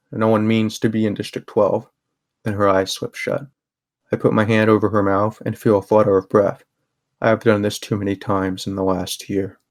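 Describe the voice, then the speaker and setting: A young man playing a guarded, sardonic teenage boy who narrates a grim audio drama in a subdued, even voice. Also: fairly low pitch, natural voice. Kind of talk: first-person scripted narration, audio drama.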